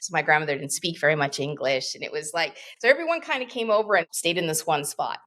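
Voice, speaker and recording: A woman's voice: low-pitched, lively intonation, quick pace, fairly bright tone. A woman in her fifties from Canada, a guest coach talking in a reflective, rambling way with many asides. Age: 50s. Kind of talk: casual interview conversation.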